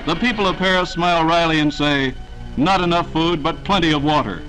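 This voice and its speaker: High-pitched 1940s newsreel man over music. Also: fairly quick, fairly bright tone, low-quality recording. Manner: dramatic, declamatory. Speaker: newsreel narrator. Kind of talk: 1940s newsreel scripted narration. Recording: restored 1940s newsreel soundtrack.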